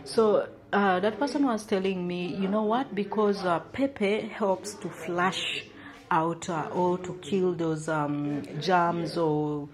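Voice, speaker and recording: A woman's voice: lowish voice, slow pace, crowd noise. A young woman from Uganda talking casually to her viewers about her travels, with lots of fillers and asides to "guys". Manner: chatty, many fillers, addresses viewers as guys. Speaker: travel vlogger. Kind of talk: casual chat to camera.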